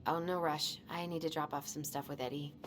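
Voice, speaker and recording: Actress as a low-voiced, anxious young woman. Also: level tone, a little fast, a little hiss or hum, natural voice. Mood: tired, friendly. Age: young adult. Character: tired, anxious young woman under strain. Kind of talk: naturalistic video game voice acting.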